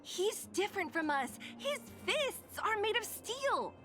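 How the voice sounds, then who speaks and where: A woman's voice: very high voice, very animated, takes it slowly, sharp tone, heavily acted. An actress voicing a blunt, sarcastic young female fighter in video game dialogue. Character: tough, exasperated young female underworld fighter.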